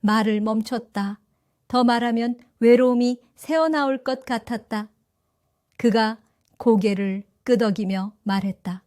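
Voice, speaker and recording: An older woman tells her story in a calm, reflective first-person narration. Her voice sits fairly high, and the recording is close and completely dry. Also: a little slow, no echo. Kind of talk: scripted first-person audio drama narration.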